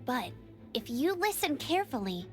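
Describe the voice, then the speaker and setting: A woman's voice: high voice, big pitch swings, normal speed, soft background music. A voice actress playing an eager, headstrong young female scout in a fantasy game, earnest, confident and impatient.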